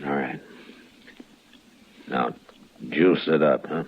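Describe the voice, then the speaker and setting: A man acting a stern, steady American frontier marshal in a radio western, speaking in terse, deliberate commands. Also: extremely low pitch. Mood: steady, coaxing. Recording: muffled, noisy old radio recording.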